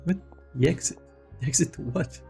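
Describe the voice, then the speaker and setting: A man's voice: a little high, a little fast, gravelly, mellow, soft background music. A man giving casual, offhand commentary while streaming a video game, repeating himself and swearing freely. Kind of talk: casual live playthrough commentary.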